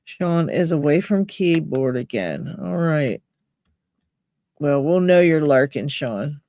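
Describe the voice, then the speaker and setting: Slow-talking Pittsburgh woman, dry close mic. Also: sits low, mellow, no echo. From Pennsylvania, USA. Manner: chatty, reads out chat names, laughs often. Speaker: livestream host. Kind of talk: casual live chat with viewers.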